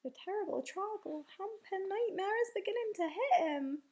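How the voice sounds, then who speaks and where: A woman voicing a friendly, cautious male giant in a storybook, hushed and conspiratorial, speaking in quaint muddled grammar. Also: extremely high pitch, animated, moderate pace, lo-fi sound, pitched above the performer's own voice. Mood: hushed, wary.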